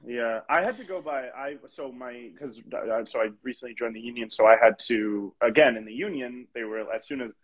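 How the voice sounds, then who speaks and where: A man's voice: medium pitch, a little fast, few pauses, slightly rough. A man guesting on a casual live chat, agreeable and full of laughs and hesitant fillers. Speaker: guest actor. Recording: dull, slightly lo-fi audio.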